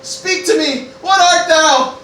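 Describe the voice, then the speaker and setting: Woman acting anxious teen grandson, stammering Shakespearean declamation, high sharp voice, cavernous room, background noise. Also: slow. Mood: frightened. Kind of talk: Shakespearean parody stage acting. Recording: very echoey room, distant mic, noisy.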